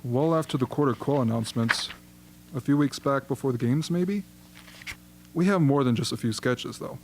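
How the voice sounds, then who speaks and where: A man playing a genial, flattering propaganda chief in a radio play, chatty and smoothly persuasive. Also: mid-range voice, normal speed, background noise, lightly acted. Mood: eager. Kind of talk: amateur radio drama acting.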